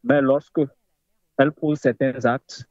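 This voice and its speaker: A man's voice: mid-range voice, steady tone, unhurried, darkish tone, no echo. Emphatic man in his thirties, muffled line. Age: 30s. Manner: emphatic, rising to insistent repetition. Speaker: caller. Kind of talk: impassioned political call-in.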